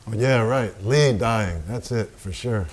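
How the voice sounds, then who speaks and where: A man plays a brooding painter in a stage drama, gruff and crude. His voice is fairly deep. He talks in blunt, terse bursts with a sardonic edge. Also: a little slow, lightly acted. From United States (the figure, Wyoming). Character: Jackson Pollock, gruff, crude, brooding American male painter. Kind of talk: theatrical staged reading.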